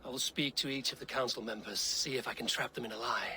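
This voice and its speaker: A man playing a calm, decisive Viking warrior in a video game, speaking in a measured, purposeful way. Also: medium pitch, background noise, natural voice. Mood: focused, firm. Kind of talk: video game character acting.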